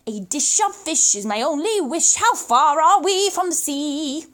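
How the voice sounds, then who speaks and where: A woman's voice: sits high, lively intonation, a little slow, a bit bright. A woman voicing a hungry, boastful male pelican from a storybook, with exclamatory, sing-song rhyming speech. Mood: wistful, hungry.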